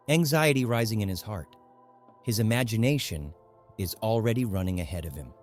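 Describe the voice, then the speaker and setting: A man's voice: fairly low pitch, a little mellow. A man narrating a literary audiobook in a scripted, dramatic storytelling style.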